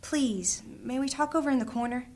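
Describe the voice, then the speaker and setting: An actress playing a gentle, earnest young princess who is a healer, speaking softly and urgently in a fantasy audio drama. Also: female voice, high voice, fairly quick, slight background noise, lightly acted. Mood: worried. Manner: soft, urgent, often hushed.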